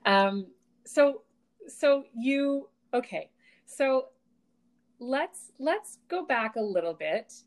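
A woman's voice: fairly high pitch, expressive, extremely slow. Affirming woman interviewer. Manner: warm, affirming, hesitant restarts. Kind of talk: conversational interview.

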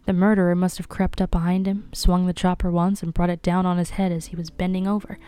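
A woman voicing a grave, composed male doctor who speaks in a measured way. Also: fairly low pitch, little pitch movement, warm tone, clean tone, dry recording, lightly acted.

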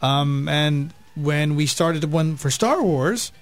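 A man's voice: a little high, animated, takes it slowly. A man in his fifties chatting casually as a podcast co-host, explaining things in a conversational way. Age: 50s. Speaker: podcast co-host, regular guest. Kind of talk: casual chat. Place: radio studio.

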